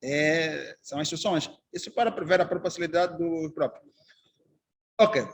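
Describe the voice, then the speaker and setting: Angolan guy, judge lecturing, high voice, chatty, keeps asking the class questions. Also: normal speed. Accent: Angolan Portuguese. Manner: conversational, anecdotal, asks the class rhetorical questions. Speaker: judge and trainer. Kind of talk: informal lecture to trainee magistrates.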